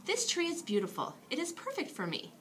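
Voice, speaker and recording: A woman voicing a brisk, practical male woodcutter in a children's bedtime story. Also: sits high, wildly swooping pitch, a little hiss or hum, voice pushed up. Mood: approving.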